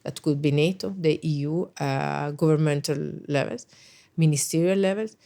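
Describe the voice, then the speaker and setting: A woman's voice: sits low, normal speed, vocal fry, mellow. A middle-aged woman, an English speaker, answering interview questions thoughtfully with frequent hesitations and restarts. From Italy. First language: Italian. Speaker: guest, NATO special representative.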